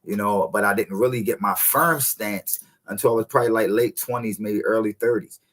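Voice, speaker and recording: A man in his thirties, a guest coach, speaking in a relaxed, reflective way and often adding "you know what I mean". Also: lowish voice, fairly quick. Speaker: podcast guest, encouragement coach. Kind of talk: reflective casual conversation.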